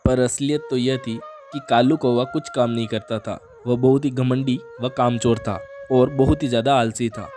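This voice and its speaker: A man's voice: mid-range voice, steady tone, normal speed, few pauses, a little mellow, faint music behind. A man telling a scripted children's animal story as its narrator.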